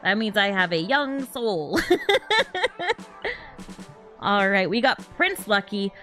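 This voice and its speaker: A woman's voice: mid-range voice, expressive, takes it slowly, pushed and bright, faint music behind. Chatty woman esports caster. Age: 30s. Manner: chatty, laughs often, frequent "um" fillers. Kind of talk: live game commentary on a stream.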